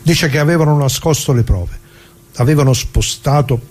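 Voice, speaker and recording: A man's voice: fairly high pitch, normal speed, a little mellow, close to an everyday voice. An actor playing a chatty, good-natured but indignant retired old man in a radio play, talking in a colloquial, rambling way straight to listeners. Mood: sardonic. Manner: colloquial, rambling, addresses listeners, repeats himself. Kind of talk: dramatic monologue in radio play.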